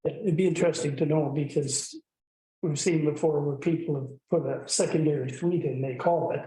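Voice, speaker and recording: A man councillor asks a question in a plain, casual way. His voice is mellow and dark, and it sits away from the microphone in an echoey chamber. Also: a little high, steady tone, fairly quick, far from the mic. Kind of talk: asking questions in a council meeting.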